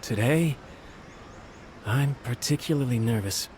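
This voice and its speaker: An actor playing a humble, anxious young servant in a video game, speaking in a hesitant, polite, apologetic way. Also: male voice, mid-range voice, a little slow, a little mellow, slight background noise, close to an everyday voice. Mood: worried. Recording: music playing behind the voice.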